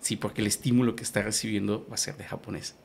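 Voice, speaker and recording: A man's voice: fairly low pitch, little pitch movement, fast, vocal fry. A man from Yucatán with a Yucatecan Spanish accent and Maya as his first language, explaining patiently in an interview, with many fillers and restarts. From Yucatán, Mexico. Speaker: guest. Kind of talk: conversational interview.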